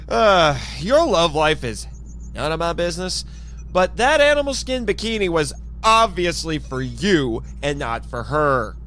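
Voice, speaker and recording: Man acting an exasperated, high-pitched, swooping team leader. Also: takes it slowly, a little hiss or hum, exaggerated voice. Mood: exasperated, scolding. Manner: expressive, sighing, hesitant. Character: exasperated, scolding but contrite male team leader. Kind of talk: fan audio drama acting. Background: background music.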